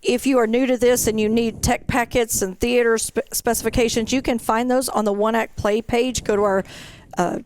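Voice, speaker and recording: A woman's voice: fairly high pitch, steady tone, moderate pace, a little mellow, slight background noise. A woman presenting an information session as an event organizer, explaining procedures step by step in an instructional way.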